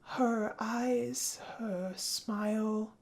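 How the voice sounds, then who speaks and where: A woman voicing a gentle, honorable vampire brother in a scripted drama, speaking softly and solemnly with a husky, gravelly edge. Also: on the high side, steady tone, drawn-out words, heavily acted. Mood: earnest, tender. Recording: music under the voice. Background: music.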